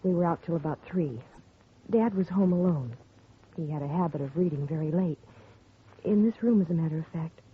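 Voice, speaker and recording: An actress playing a grieving young woman whose father has been murdered, speaking softly and earnestly in a 1950s radio drama. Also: deep voice, brisk, natural voice. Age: young adult. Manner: soft and earnest, recounting quietly. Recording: tinny lo-fi 1950 radio broadcast with faint hiss.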